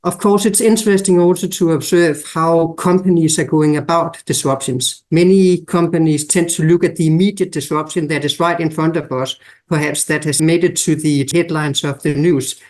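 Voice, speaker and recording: A man with a Danish accent, a guest expert on a podcast interview, explaining in a measured way and listing examples. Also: a little high, steady tone, darkish tone. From Denmark.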